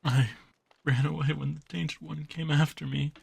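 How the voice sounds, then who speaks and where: A man voicing a frightened small hybrid jackalope creature in an audio drama, speaking haltingly in a raspy, creaky voice. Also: fairly high pitch, level tone, dry recording, exaggerated voice. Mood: halting, grieving. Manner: halting, timid, stammering.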